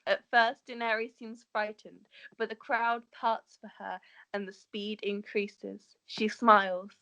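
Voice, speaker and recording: A woman's voice: medium pitch, little pitch movement, measured pace, pushed and bright. A woman narrating the stage directions of a script in an amateur table read, sometimes stumbling over words.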